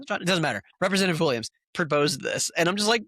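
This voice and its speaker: Animated, sarcastic podcast host at home. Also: medium pitch, racing, no echo, studio quality. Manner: animated, incredulous, sarcastic asides. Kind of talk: casual podcast chat.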